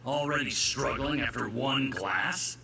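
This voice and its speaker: A man's voice: mid-range voice, a little slow, vocal fry, bright, forceful tone, distant mic, slight background noise. A man playing a smug, manipulative foreign agent in a video game, with a patronizing, theatrical drawl. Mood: goading, amused.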